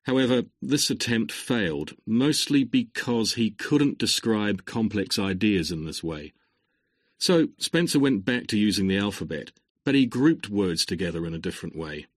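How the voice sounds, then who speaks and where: Man, lecturer, lowish husky voice, steady scripted lecture delivery, dry studio sound. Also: moderate pace, no echo. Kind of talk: scripted exam-recording lecture.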